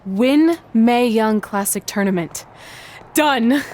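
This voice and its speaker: A woman's voice: a little high, expressive, takes it slowly, darkish tone. A woman playing a confident, plucky young wrestler in a video game cutscene. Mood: pleased.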